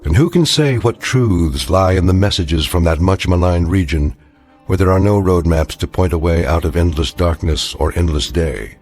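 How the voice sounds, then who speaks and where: A man narrating a radio drama as a grave, knowing storyteller, measured and portentous with a wry edge. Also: low-pitched, a little mellow, lightly acted. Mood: grave, ominous. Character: grave, knowing, ominous storyteller of strange tales. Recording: music under the voice.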